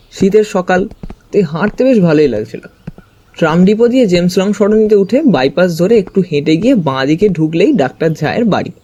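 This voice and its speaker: High-pitched, mellow male story narrator. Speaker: narrator and detective's companion. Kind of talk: first-person scripted story narration.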